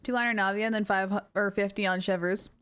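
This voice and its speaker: A woman livestreamer chatting casually over a game, with an excitable, gushing manner. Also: medium pitch, fast, clean tone. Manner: excitable, gushing, baby-talks to her dog. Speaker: live game streamer. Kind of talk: casual livestream chat while gaming. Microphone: streamer's mic, wearing headphones.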